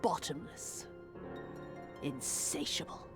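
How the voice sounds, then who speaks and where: A woman's voice: slow pace, faint music behind, natural voice. A woman voicing a sadistic, vengeful villainess in a video game, haughty and languid with theatrical menace. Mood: cruel, vengeful. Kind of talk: video game cutscene acting.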